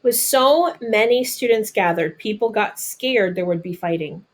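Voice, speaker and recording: A woman with a mid-pitched voice reads a children's book aloud. She delivers the text deliberately and breaks off into plain, simple explanations, as if speaking to young listeners. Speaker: storybook reader.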